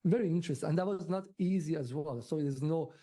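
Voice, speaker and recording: Very dark-voiced Iranian man, higher pitched. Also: moderate pace. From Iran. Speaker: guest commentator on Iran. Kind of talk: conversational political analysis.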